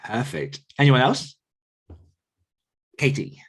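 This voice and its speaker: A man's voice: medium pitch, sing-song, slow pace, a bit bright, breathy edge. A man hosting a podcast group discussion in a casual, conversational style. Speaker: podcast host.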